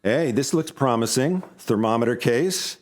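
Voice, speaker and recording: A man's voice: medium pitch, expressive, measured pace, dry recording, clean studio sound, lightly acted. An actor playing an easygoing, wry man of the seventies rock scene in an audio drama, conversational as narrator and in dialogue. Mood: wry, casual. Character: Eddie, easygoing man in the 1970s rock scene, telling a murder mystery. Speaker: narrator and lead character. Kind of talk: full cast audio drama, first-person narration and dialogue.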